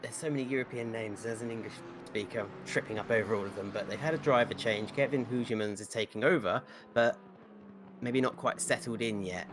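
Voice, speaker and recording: A man's voice: lowish voice, noisy background. Playful English-speaking race commentator man. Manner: playful, teasing, quick race calls. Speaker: lead commentator. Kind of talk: live sim racing commentary.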